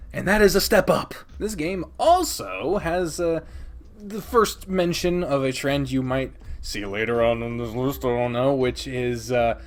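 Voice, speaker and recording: A man giving a casual, opinionated countdown review of games, rambling and emphatic with plenty of swearing. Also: on the high side. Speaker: video host and game reviewer.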